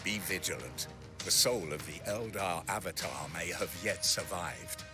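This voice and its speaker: A man playing a stern, noble warrior knight commander in a video game cutscene, formal and forceful. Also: deep voice, lively intonation, measured pace, music in the background. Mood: grave, resolute.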